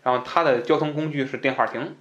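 A man's voice: medium pitch, fairly even intonation, fast talker, fairly bright tone, lo-fi sound. A man hosts a podcast, chatting casually about books and explaining points conversationally, often repeating himself for emphasis. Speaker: podcast host. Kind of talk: casual book discussion.

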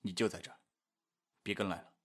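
A man's voice: low-pitched, fairly even intonation, fast, a bit bright. An actor playing a stern, brooding young nobleman with a curt, commanding manner in a Chinese period audio drama.

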